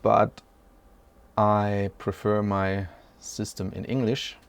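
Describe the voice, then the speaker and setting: A man's voice: lowish voice, little pitch movement, slow, gravelly, clean tone. A man in his forties hosting an unscripted tech walkthrough from a small room at home, rambling casually and correcting himself as he goes. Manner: rambling, self-correcting, chatty. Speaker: tech channel host.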